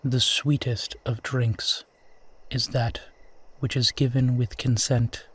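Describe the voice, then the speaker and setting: A man playing a suave, centuries-old vampire in a roleplay audio drama, speaking formally, with old-fashioned courtesy and gentle teasing. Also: mid-range voice, level tone, takes it slowly, breathy edge. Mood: smooth, amused. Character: suave, courtly centuries-old male vampire who looks twenty-eight.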